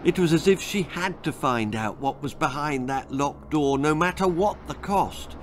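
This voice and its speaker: A man's voice: fairly high pitch, moderate pace, slight background noise. A man reading his own spooky, comic children's story aloud in a theatrical, suspenseful way. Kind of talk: dramatic children's horror-comedy storytelling.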